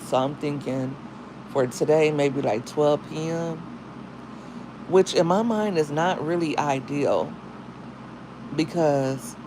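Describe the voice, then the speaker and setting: A woman with a deep, husky morning voice, talking casually to viewers in a livestream as a single mom and content creator, with chatty, slangy asides. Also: background noise. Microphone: phone.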